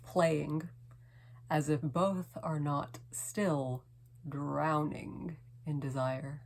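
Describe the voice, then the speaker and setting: Female poet, low register, unhurried dramatic delivery with hushed, lingering phrasing. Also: fairly even intonation, vocal fry. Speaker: poet reading own poem. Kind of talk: slow dramatic poetry reading.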